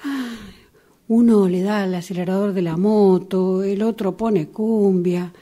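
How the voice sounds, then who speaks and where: A woman's voice: mid-range voice, steady tone, measured pace, dark tone, lightly acted. A woman in an amateur radio drama playing a fussy, solicitous retired old sister with a Rioplatense Argentine accent, given to fretful exclamations. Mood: plaintive gossip.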